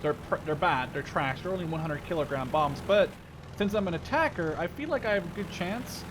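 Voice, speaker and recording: A man's voice: fairly high pitch, animated, fairly quick, noisy background. A man in his twenties giving casual, joking, slangy live gameplay commentary as a gaming video host. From Canada. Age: 20s. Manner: self-deprecating, joking, slangy. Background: game vehicle sounds.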